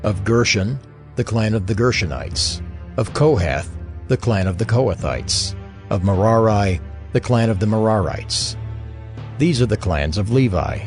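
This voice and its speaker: Deep, very animated male scripture reader. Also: a little slow, soft background music. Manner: formal, declamatory. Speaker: list reader. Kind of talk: proclaimed scripted scripture reading.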